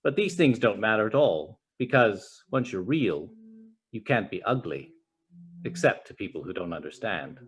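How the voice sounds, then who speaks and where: A man voicing a wise, gentle old toy horse in a storybook, speaking slowly, softly and deliberately. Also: lowish voice, expressive, voice pushed down, close to an everyday voice. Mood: gentle, patient. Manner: slow, deliberate, soft delivery. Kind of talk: storybook reading.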